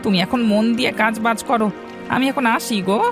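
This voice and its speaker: Fast-talking, consoling young village man voiced by a man. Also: very high-pitched, steady tone. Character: sympathetic younger village friend, male, consoling. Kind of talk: cartoon fairy-tale voice acting. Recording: music bed behind the voice.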